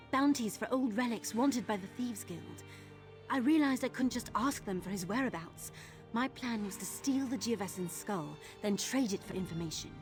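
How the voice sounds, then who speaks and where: A woman's voice: medium pitch, darkish tone, natural voice. An actress voicing an earnest young woman thief searching for her missing father in a video game, confiding in tone. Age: young adult. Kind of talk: video game character dialogue. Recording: game music behind the voice.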